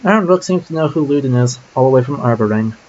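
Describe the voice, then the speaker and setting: A man's voice: mid-range voice, lively intonation, fast talker, a little mellow, muffled recording, slight background noise. A man streaming a game, musing aloud casually about his choices as he plays. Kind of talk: let's play commentary.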